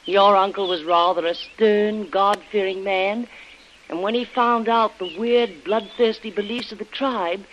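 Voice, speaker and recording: An actress playing a composed, earnest plantation wife in 1930s radio style, speaking in measured phrases. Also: female voice, medium pitch, fairly even intonation, fairly bright tone, lightly acted. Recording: dull, noisy old radio recording.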